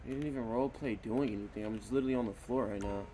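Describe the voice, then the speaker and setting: A man's voice: mid-range voice, rapid-fire, dark tone, soft background music. A man giving casual, profane, slangy streaming commentary on an online roleplay game, complaining sarcastically.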